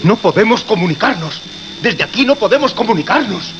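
High-pitched anxious man, muffled radio play. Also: animated, fairly quick. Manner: urgent, fretful. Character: anxious, practical studio colleague trapped by horrors. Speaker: actor in radio drama. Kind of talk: Spanish radio horror drama acting. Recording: muffled radio recording with noise.

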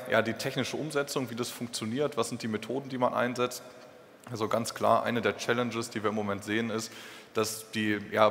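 A man's voice: fairly low pitch, fairly bright tone. A young adult man giving a technical conference presentation in an explanatory, informal way. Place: stage in a concrete-walled event hall. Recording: echoey hall with clear background noise.

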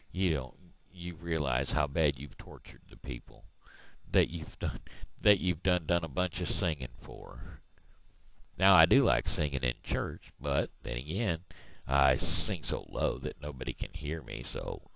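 Man, fifties, folksy drawl, rambling, very deep and gravelly, lively pitch swings, dry headset sound. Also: no echo. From Missouri, USA. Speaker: video blogger. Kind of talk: casual rambling monologue. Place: small room indoors. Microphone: headset microphone.

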